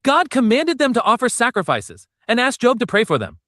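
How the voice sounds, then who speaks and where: Male narrator for a scripted story read-through: high, animated delivery, dry recording. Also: a little fast, no room sound. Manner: steady, storytelling read with numbered section headings.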